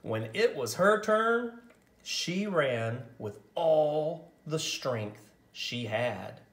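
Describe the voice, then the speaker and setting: A man narrating a picture book aloud as a storyteller, with expressive, dramatic and deliberate phrasing. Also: a little high, reverberant.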